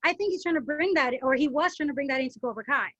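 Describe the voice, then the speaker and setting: Woman, podcast host, high voice, lively chat, dry dull sound. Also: no echo. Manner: lively, chatty, quick to chime in. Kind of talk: casual chat.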